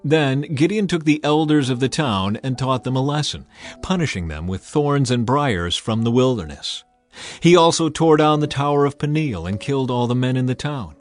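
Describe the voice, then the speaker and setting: A man's voice: mid-range voice, expressive, normal speed, faint music behind. A man narrating a dramatized Bible audiobook in a scripted storytelling style.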